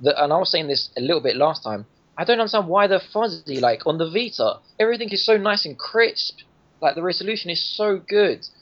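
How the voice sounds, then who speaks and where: A man's voice: high-pitched, animated, brisk. A man chatting casually on a gaming podcast, rambling with lots of restarts and laughing at his own jokes. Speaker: podcast guest reviewing a game. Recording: lo-fi podcast audio.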